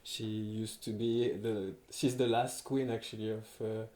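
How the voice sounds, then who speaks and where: A young man with a French accent speaks in a low, mellow voice. He talks hesitantly, full of fillers and restarts, laughing now and then. The sound is echoey, as in a small room in a farmhouse. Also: flat delivery, unhurried. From France, Paris. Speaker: textile artist, guest. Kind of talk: casual conversation.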